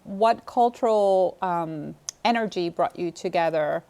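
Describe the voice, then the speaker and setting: A woman's voice: lowish voice, measured pace, warm tone. A woman hosting a television interview in a studio, speaking earnestly and wordily, with affirming remarks. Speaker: TV talk show host.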